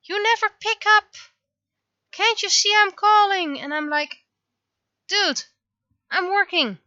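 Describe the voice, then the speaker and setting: A woman livestreamer chatting casually and venting in an animated, exasperated way, acting out imagined exchanges as she goes. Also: very high voice, takes it slowly, dry recording, lo-fi sound. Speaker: livestreamer, webcomic artist. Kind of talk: casual livestream chat, ranting.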